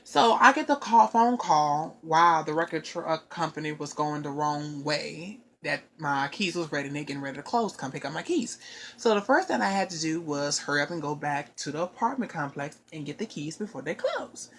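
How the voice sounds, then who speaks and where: A woman telling a long personal story in casual chat, rambling and animated, with frequent asides to her listeners. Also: low-pitched, normal speed, slight background noise.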